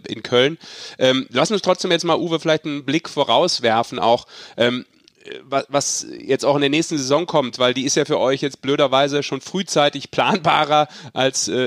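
A male podcast host with a medium-pitched voice speaks conversationally. His questions are casual and wordy, meandering toward the point, in a dry-sounding recording. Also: fairly bright tone, no echo.

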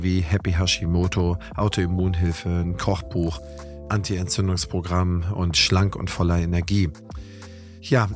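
A hoarse, low-voiced man from Holstein hosts a podcast and introduces his guest with eager praise. A faint music bed sits under his voice. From Holstein, Germany. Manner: enthusiastic, praising. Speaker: podcast host. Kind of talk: podcast interview with scripted intro.

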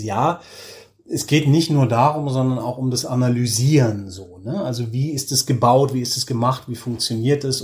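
A man in his forties chatting casually as an interview guest, telling things with animated enthusiasm. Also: medium pitch. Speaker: interview guest, podcaster and audio drama creator.

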